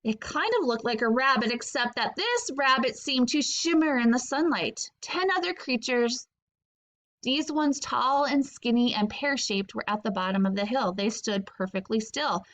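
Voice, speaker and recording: A woman reads a children's story aloud in an expressive teacherly way. Her voice is high and bright. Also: unhurried. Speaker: teacher reading aloud to pupils.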